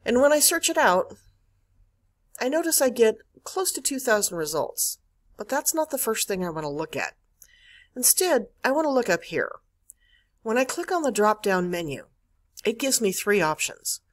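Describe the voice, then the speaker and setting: A woman's voice: on the high side, sing-song, moderate pace, long pauses. A woman narrating an instructional screencast as a librarian, explaining step by step with emphasis on key words. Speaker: college librarian giving a catalog tutorial. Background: screen-recorded voice-over.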